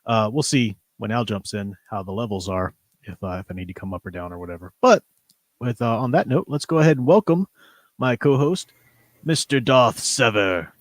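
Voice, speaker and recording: A man's voice: lowish voice, lively intonation, moderate pace, dry recording. A man hosting a casual live-stream book discussion, talking in an informal, rambling way. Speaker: host. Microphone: newly borrowed, older studio microphone.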